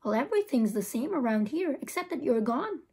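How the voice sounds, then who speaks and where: A woman's voice: high-pitched, sing-song, a little fast, warm tone, husky, full character voice. A woman reading the part of a casual schoolboy best friend in a storybook, chatting informally.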